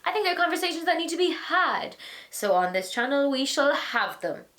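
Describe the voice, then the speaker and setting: A young Irish woman in her twenties, hosting a vlog and answering viewer questions in a frank, animated, chatty way. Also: high-pitched, moderate pace. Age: 20s. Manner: animated, acts out little voices, frank and chatty. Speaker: vlogger, host of an advice series.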